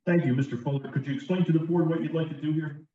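A man running formal proceedings at a zoning board meeting on a video call, brisk and procedural. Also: a little high, steady tone, warm tone, a little hiss or hum. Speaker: board attorney. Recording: echoey distant muffled call audio.

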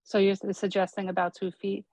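A woman's voice: medium pitch, completely flat delivery, brisk, darkish tone, no room sound. A woman applying to a zoning board on a video call, asking questions in an uncertain way. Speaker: applicant before a zoning board. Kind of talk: questions at a public meeting. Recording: dry muffled video-call audio.

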